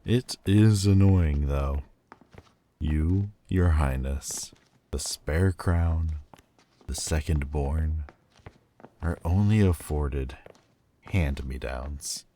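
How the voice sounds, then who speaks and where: A man playing a proud, wounded demon lord turned mortal in a dramatic audio roleplay, speaking haughtily and theatrically with hesitant pauses. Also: very deep voice, mellow, slight background noise, exaggerated voice. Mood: resigned, devoted. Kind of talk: dramatic ASMR roleplay monologue.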